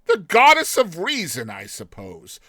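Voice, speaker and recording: A man's voice: high voice, wildly swooping pitch, measured pace, bright, forceful tone, no room sound, voice pushed up, exaggerated voice. A man playing a weary, sardonic male undergraduate, resigned and sarcastic, in a dramatized short story. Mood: exasperated, sardonic.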